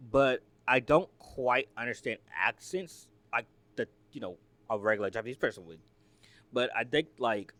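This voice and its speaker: Chatty, rambling American man with lively pitch. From United States. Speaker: reaction video host. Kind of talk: casual reaction commentary.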